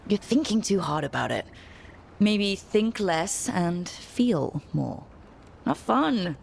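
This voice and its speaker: A woman playing a calm, supportive and gently teasing hero in a fantasy video game, with natural delivery. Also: mid-range voice, takes it slowly, gravelly, slight background noise, full character voice. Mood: encouraging, teasing. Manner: gentle, encouraging.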